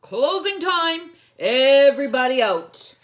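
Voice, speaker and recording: A woman's voice: sits high, very animated, slow pace, fairly bright tone, pitched above the performer's own voice, heavily acted. A woman voicing a helpful adult laundromat manager in a storybook, with a matter-of-fact, courteous manner. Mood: calling out.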